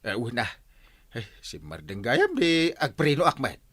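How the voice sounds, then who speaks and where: A man's voice: medium pitch, big pitch swings, a little slow, fairly bright tone. A man with a Filipino accent playing a bumbling, boastful, cowardly night-watch captain in a radio drama, stammering and blustering.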